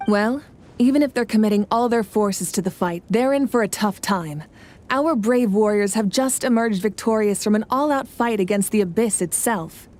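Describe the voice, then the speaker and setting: A regal female war leader played by a woman in a video game, with a medium-pitched voice. She speaks formally and with measured authority, composed and reassuring. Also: a little mellow, natural voice. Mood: grave, resolute. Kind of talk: video game dialogue acting.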